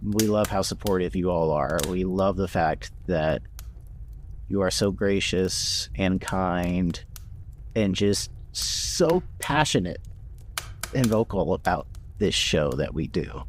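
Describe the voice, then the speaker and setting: A man with a fairly low voice talks casually and earnestly as a podcast cast member. There is some background noise with clicking. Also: slow. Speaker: podcast voice actor. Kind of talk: casual chat.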